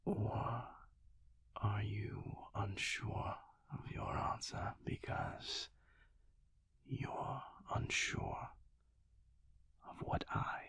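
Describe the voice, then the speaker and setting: A man playing a calm, tender but guarded vampire lover in an intimate audio roleplay, speaking deliberately in a breathy, husky whisper with pauses mid-sentence. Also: on the low side, exaggerated voice. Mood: gentle, questioning. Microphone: close, dry microphone.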